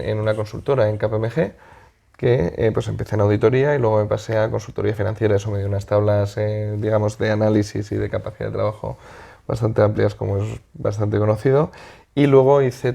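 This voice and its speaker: A man from Madrid with a Spain accent, answering as a political interview guest in a halting, conversational way, searching for words. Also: on the low side, creaky, warm tone.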